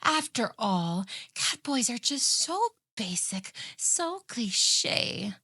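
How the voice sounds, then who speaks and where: Obsessive kitsune yandere played by a woman, ASMR roleplay. Unhurried, smug and sweetly menacing, dry studio sound. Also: fairly high pitch, big pitch swings, pushed and bright, slightly rough, dry recording, exaggerated voice. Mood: smug, possessive. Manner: sugary, boastful, taunting. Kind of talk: scripted ASMR roleplay acting.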